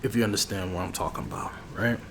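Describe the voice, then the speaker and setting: A man's voice: on the low side, steady tone, quick pace. A man chatting casually in slang as an ASMR barber, rambling in a familiar way. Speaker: ASMR barber roleplay creator. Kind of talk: ASMR roleplay with casual chat. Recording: clear background noise.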